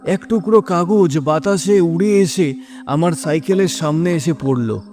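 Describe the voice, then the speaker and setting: Guy telling a ghost story, fairly high voice, dramatic n suspenseful, music and crickets behind. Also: measured pace. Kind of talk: scripted first-person horror story narration.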